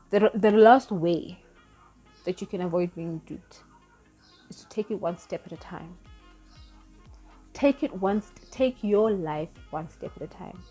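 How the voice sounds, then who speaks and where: A woman's voice: mid-range voice, dark tone. A woman in her thirties, not a native English speaker, giving earnest, rambling spiritual advice in a casual vlog, often correcting herself as she goes. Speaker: spiritual vlogger.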